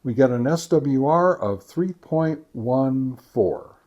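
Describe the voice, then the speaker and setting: A man hosting a casual gear test review, talking to viewers in a halting, methodical way. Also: medium pitch, lively intonation, a little mellow, dull audio. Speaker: host of a radio gear review channel.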